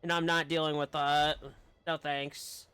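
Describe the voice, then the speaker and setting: A man with a bright, smooth voice gives casual game-stream commentary. He talks offhandedly and unhurriedly, close on a dry microphone. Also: a little high, flat delivery, unhurried, no room sound. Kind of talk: casual live gameplay commentary.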